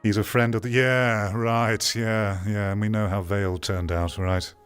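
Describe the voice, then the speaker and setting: A man's voice: on the low side, level tone, a little slow, smooth, soft background music. A man giving off-the-cuff, opinionated critical commentary as a streamer, stressing points by repeating phrases. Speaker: streamer hosting a VR gaming commentary show.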